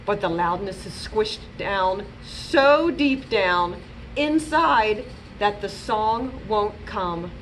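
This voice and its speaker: A woman reading a storybook aloud to young children, deliberate and expressive, leaning on key words. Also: mid-range voice, a little hiss or hum, low-quality recording. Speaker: children's librarian, storytime reader.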